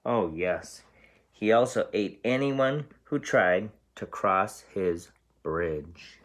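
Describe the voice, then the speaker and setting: A man's voice: on the low side, animated, takes it slowly. A man reading a storybook aloud as a teacher, explaining things simply for young children.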